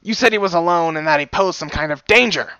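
A man voicing a gruff, commanding older unicorn stallion who scolds sternly, on a dull, lo-fi recording. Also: fairly high pitch, moderate pace, bright, forceful tone, no echo.

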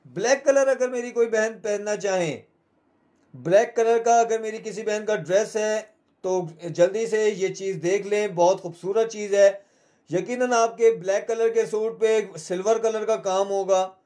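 High-voiced Pakistani man pitching sales. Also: darkish tone. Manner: repetitive, urging, salesman-like.

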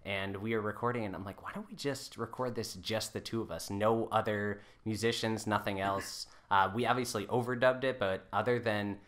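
A man's voice: lowish voice, fairly even intonation, moderate pace, hardly any pauses, a bit bright. A man talking casually as a podcast guest, conversational and self-deprecating, often saying "like". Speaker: podcast guest, folk musician. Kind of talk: casual chat.

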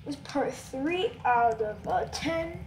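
A young-sounding gamer giving casual gameplay commentary in a halting way, trailing off mid-sentence. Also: high-pitched, expressive, a little hiss or hum, rough recording. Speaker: gaming video creator.

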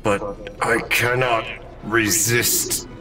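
An actor playing a noble, possessed sorcerer hero in a video game, solemn and grandiose. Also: male voice, mid-range voice, little pitch movement, drawn-out words, far from the mic. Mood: anguished, reluctant. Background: loud music.

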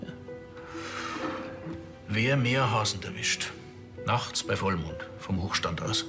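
An actor playing a defensive hunter under questioning, in a TV crime comedy. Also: male voice, fairly low pitch, slow pace, over music. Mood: explaining. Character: defensive, wagering Bavarian male hunter suspect.

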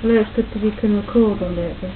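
A young woman chatting casually and self-deprecatingly in her living room, talking quietly into a built-in webcam mic. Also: steady tone, very fast. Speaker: home video maker. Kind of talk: casual home video chat. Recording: tinny low-quality webcam audio with faint hiss.

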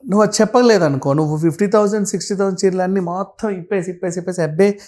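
A man's voice: sits high, steady tone, rapid-fire, a little mellow. A man with a Telugu accent talking casually and explaining things through everyday examples and lists, mixing in English words.

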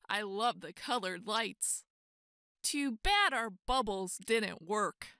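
A high, bright-voiced woman storyteller reading to children. She takes her time, stretching key words and exclaiming with big expression. The sound is close and dry. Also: no echo. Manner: expressive, exclaiming, drawn-out emphasis. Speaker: storyteller reading a children's picture book aloud. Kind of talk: animated children's read-aloud.

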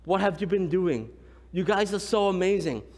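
A man in his thirties, a pastor from Cambodia with a Khmer accent, preaching a lively sermon and often asking the congregation "right?". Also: high-pitched, moderate pace. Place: large church sanctuary, indoors. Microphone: far camera, room pickup. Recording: long church hall reverb.

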